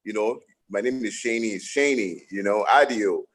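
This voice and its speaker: A man's voice: on the low side, normal speed. A middle-aged man from Nigeria, a lawyer speaking on a panel and telling reflective stories with frequent restarts. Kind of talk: panel discussion.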